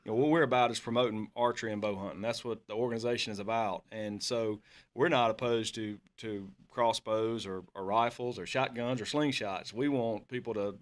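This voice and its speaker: A man's voice: lowish voice, fairly even intonation, brisk. A man, explaining his bow hunting association's views in an earnest way.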